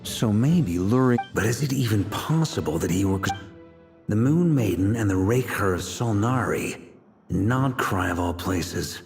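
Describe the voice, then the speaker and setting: Chatty, shrewd game knight with lively delivery. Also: male voice, on the low side, a little slow, gravelly, faint music behind. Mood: musing, serious. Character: shrewd, chatty male knight leading a strategy meeting. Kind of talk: video game voice acting.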